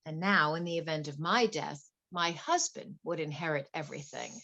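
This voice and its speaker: A woman's voice: low-pitched, expressive, normal speed, gravelly, pushed and bright, dull audio, full character voice. A woman playing a wealthy, anxious wife in a play reading, earnest, polite and flustered. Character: wealthy, anxious wife confiding fears about her husband.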